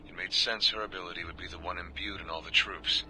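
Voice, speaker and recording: A man's voice: low-pitched, fairly even intonation, fast talker, pushed and bright, a little hiss or hum, low-quality recording. A man playing a weary, cynical soldier confiding in a video game audio log, in a conversational, matter-of-fact way. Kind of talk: in-character video game monologue.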